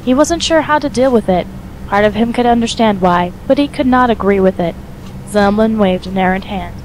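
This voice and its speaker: A woman's voice: medium pitch, normal speed, a little hiss or hum, poor audio. A woman reading scripted third-person story narration as the narrator of an audio drama.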